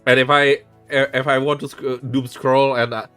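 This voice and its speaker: A man's voice: mid-range voice, level tone, moderate pace, fairly bright tone, clear, resonant tone, soft background music. A man hosting a live stream, giving frank relationship advice in casual chat, swearing freely and restarting his sentences. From Indonesia. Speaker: streamer host.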